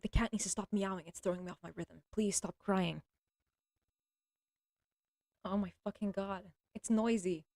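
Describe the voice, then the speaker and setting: Young woman rambling on stream, blunt and crude, keeps clearing her throaty voice, dead dry sound. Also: medium pitch, a little mellow, no room sound. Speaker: livestreamer. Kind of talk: casual rambling monologue. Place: at home with a cat.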